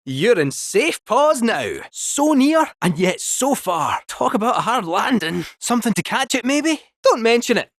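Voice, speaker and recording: A man's voice: extremely high pitch, big pitch swings, measured pace, hardly any pauses, no echo, studio quality, exaggerated voice. A man voicing a chatty male robot cat fortune-teller with a Scottish accent, cheeky and folksy, full of rhyming quips. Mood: playful bravado. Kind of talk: video game voice acting.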